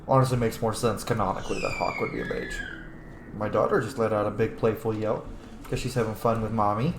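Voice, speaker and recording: A man's voice: on the low side, fairly even intonation, brisk, echoey room, background noise. A man giving casual, chatty, rambling commentary as a video game streamer. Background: game music.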